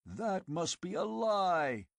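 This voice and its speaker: A man's voice: high voice, sing-song, takes it slowly, creaky, dry recording, clean studio sound, pitched above the performer's own voice, full character voice. A man voicing a blunt, exasperated barbarian warrior who roars his words. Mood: roaring. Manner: bellowing, blunt.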